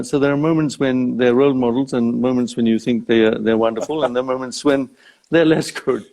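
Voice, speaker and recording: An older man from Uganda speaking as an interview guest in a live online chat, thoughtful and halting as he phrases things. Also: medium pitch, darkish tone. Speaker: interview guest, safari company founder. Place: live social media video chat.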